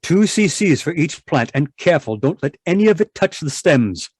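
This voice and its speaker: Stern, impatient obsessive husband, played by a man; husky voice, big swings in pitch, old-time radio manner. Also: sing-song, normal speed, a little mellow, no echo, clean studio sound. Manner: imperious, dismissive, impatient. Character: stern, obsessive, irritable husband tending experimental roses. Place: live video call performance. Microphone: video call microphone.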